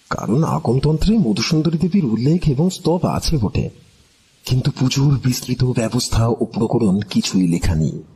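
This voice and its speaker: A man voicing an elderly, knowing tantric storyteller who speaks in a measured, instructive and familiar way in an audio drama. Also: mid-range voice, dark tone, slight background noise, voice pushed down, natural voice. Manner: measured, instructive, familiar address to younger listeners. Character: elderly male tantric storyteller, composed, knowing, quietly amused.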